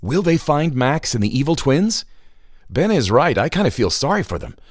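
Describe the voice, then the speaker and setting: A man's voice: a little high, big pitch swings, moderate pace, no room sound, clean studio sound. A man narrating scripted stories and credits for children as a show's narrator.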